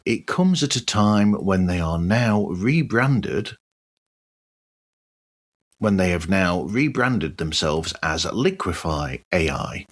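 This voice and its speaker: A man with a husky voice hosting a podcast, reading a scripted news roundup with wry, musing asides. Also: on the low side, a bit bright. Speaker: podcast host.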